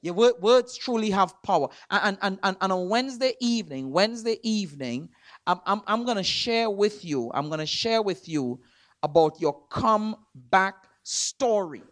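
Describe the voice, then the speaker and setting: A man from Trinidad with a Trinidadian accent, preaching in a church. He stresses words hard, repeats himself and swings between loud and soft. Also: sits high, expressive, normal speed, no room sound, clean studio sound. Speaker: guest preacher. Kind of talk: sermon. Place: church sanctuary.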